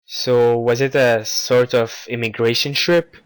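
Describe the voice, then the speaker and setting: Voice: mid-range voice, fairly even intonation, unhurried, smooth. A radio show host presenting from a script and asking interview questions.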